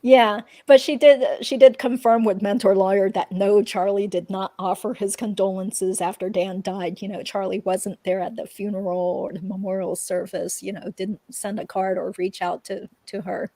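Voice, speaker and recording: A woman's voice: mid-range voice, fairly even intonation, normal speed, few pauses, dark tone, no echo. A woman hosting a livestream, chatting informally in a casual commentary style. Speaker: livestream host. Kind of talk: casual chat, true-crime commentary.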